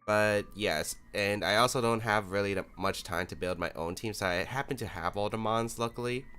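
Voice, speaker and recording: A man hosting his own gaming channel who talks through his choices out loud in a relaxed, analytical way. He is a little sick, so his voice sounds a bit off. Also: lowish voice, normal speed, smooth. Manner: thinks aloud, analytical, relaxed with fillers. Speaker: YouTube competitive gaming host. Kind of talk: casual gameplay commentary.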